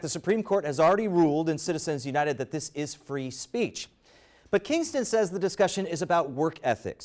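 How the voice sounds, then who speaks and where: A man reading a scripted investigative television news report with emphatic, deliberate phrasing. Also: high voice, dry recording, clean studio sound.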